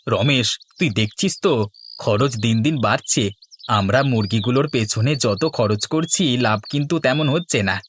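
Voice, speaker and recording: A man's voice: medium pitch, animated, moderate pace, slight background noise, lightly acted. A man playing an earnest village chicken farmer in scripted cartoon dialogue. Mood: worried.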